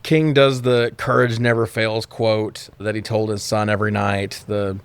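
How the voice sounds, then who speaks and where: A man's voice: on the low side, level tone, a little slow, clear, resonant tone, no room sound. A man co-hosting a podcast, recapping a film in casual, jokey chat with plenty of swearing. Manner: jokey, irreverent, profane. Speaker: podcast co-host reviewing movies.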